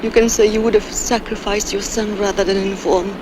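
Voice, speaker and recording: A desperate wife, played by an actress, urgent and defiant. Her voice sits at a middle pitch for a woman. Clear city street noise runs behind her in an outdoor alley. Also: little pitch movement, fairly quick, natural voice. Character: desperate Hungarian wife defending her husband. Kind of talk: 1960s TV crime drama acting.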